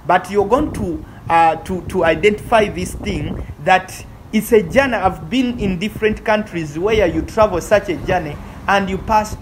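A woman's voice: mid-range voice, normal speed, hardly any pauses, background noise. A woman with a Ugandan accent narrates a travel vlog casually, repeating phrases for emphasis. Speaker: vlogger. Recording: music under the voice. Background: music.

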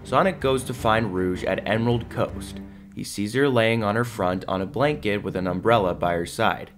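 A man's voice: fairly low pitch, moderate pace, music in the background. A man reading scripted narration as the narrator of an audio drama.

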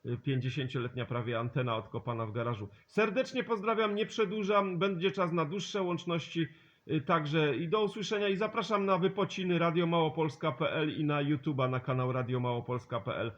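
A man's voice: a little high, fairly quick, a bit bright. A man working as a CB radio operator, calling other stations in chatty, joking, courteous radio talk. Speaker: amateur CB radio operator, channel host. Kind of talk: CB radio contact calls with radio jargon. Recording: dull lo-fi CB radio recording.

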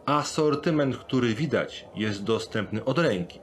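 A man playing a polite, sales-minded locksmith in a dark fantasy audio drama, speaking in a courteous, businesslike, obliging way. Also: medium pitch, lively intonation, a little slow, natural voice. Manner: courteous, businesslike, eager to please.

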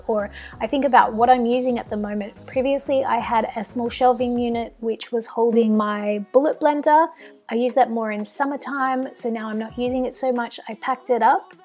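A woman's voice: fairly high pitch, a little mellow. A young woman from Australia narrating her home cleaning routine in a friendly, encouraging voice-over, with music playing behind her. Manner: friendly, practical, encouraging tips. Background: background music.

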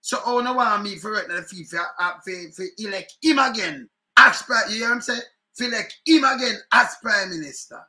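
A middle-aged Jamaican man speaks in patois straight into a webcam from a room at home. His voice sits high and has a sharp, bright edge. He talks in an animated way and circles back over the same points again and again. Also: brisk, hardly any pauses. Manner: animated, chuckling, repeats phrases, gestures a lot. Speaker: online commentary host. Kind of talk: casual political commentary in patois. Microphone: webcam at desk.

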